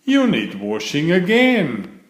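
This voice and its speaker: A man's voice: a little high, wildly swooping pitch, slow pace, pitched above the performer's own voice, heavily acted. A man voicing a cheerful, literal-minded housekeeper woman with a folksy, matter-of-fact way of talking, in a storybook read-aloud. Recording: roomy echo.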